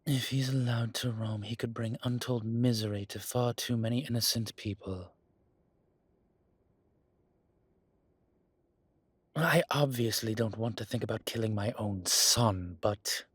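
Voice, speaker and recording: A man playing a proud, brooding vampire burdened as a father, with a husky voice and a weary, deliberate manner, in an audio roleplay. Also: medium pitch, steady tone, no echo, close to an everyday voice. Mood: burdened, somber. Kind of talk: dramatic audio roleplay acting.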